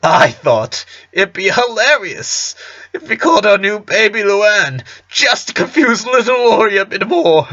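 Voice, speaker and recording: A man plays a middle-aged cartoon dad with a bright, forceful voice. He tells nostalgic family stories with earnest fondness and a joking streak. The recording is slightly dull and lo-fi. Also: medium pitch, animated, a little slow. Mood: amused, animated. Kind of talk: cartoon fan fiction reading.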